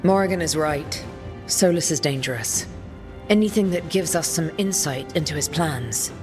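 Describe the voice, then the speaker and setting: A low-pitched woman as a serious game commander. She is firm and earnest, with music clearly playing behind her. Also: animated, normal speed. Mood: resolute.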